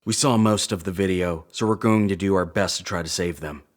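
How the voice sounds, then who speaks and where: A man's voice: deep voice, a little fast, lightly acted. An actor playing an earnest, remorseful male crewman in a sci-fi horror audio drama. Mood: apologetic, earnest.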